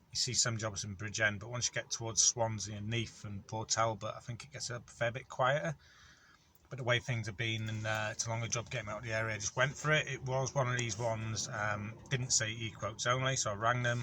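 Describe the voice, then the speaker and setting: A man, a van courier chatting through a casual vlog in a rambling, matter-of-fact way with many pauses and ums. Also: on the low side, bright, forceful tone, a little hiss or hum. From North Wales. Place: in or beside his van on an industrial estate.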